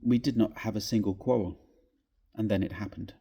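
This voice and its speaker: Man, lowish pitch, soft dark tone, husky, expressive storyteller, measured. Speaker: reader of a classic ghost story. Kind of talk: theatrical storytelling narration.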